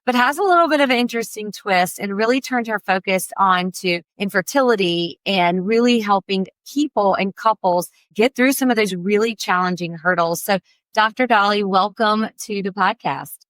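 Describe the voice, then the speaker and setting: A woman hosts a podcast, gushing with enthusiasm. Her voice sits at an ordinary pitch and is recorded close and dry. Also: moderate pace, a bit bright, no echo. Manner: enthusiastic, effusive. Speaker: podcast host. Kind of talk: podcast interview.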